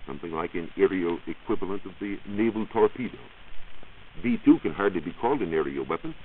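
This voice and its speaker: An American man giving a measured, explanatory science talk on 1940s radio as a reporter. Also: deep voice, phone-quality sound. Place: radio studio. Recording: hissy band-limited 1940s radio recording.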